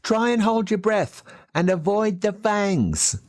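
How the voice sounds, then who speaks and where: A man's voice: sits high, slow pace, a little mellow, pitched above the performer's own voice. An Australian man voicing a frightened, scrappy young boy in a storybook, speaking with urgent pleading. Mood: pleading, apologetic.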